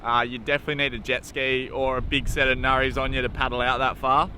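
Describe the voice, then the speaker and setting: Australian man, young, casual slangy vlog talk, average pitch, outdoor noise in the background. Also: flat delivery, fast talker, bright, forceful tone, rough recording. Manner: laid-back, jokey, uses slang. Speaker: travel vlogger. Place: outdoors at a beach.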